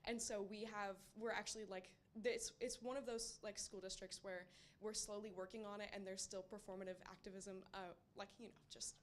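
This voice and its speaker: A woman's voice: mid-range voice, steady tone, brisk, a little hiss or hum. Eager young college freshman woman. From Indiana, USA. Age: young adult. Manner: eager and gushing, loud by her own account. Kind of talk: audience comment at a public lecture.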